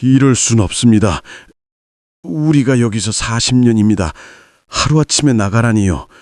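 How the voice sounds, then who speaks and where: An aging, dutiful father, acted by a male narrator in an audiobook drama. He is anxious and polite, pleading in formal speech with his pitch pushed up. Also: lively intonation, normal speed, a little mellow, no room sound, clean studio sound, close to an everyday voice. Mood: pleading, indignant.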